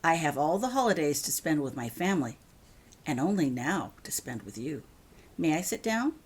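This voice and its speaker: A woman voicing a calm, kindly grown man in a quiet, conversational way for a story. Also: low-pitched, moderate pace, breathy edge, heavily acted. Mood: kind, friendly.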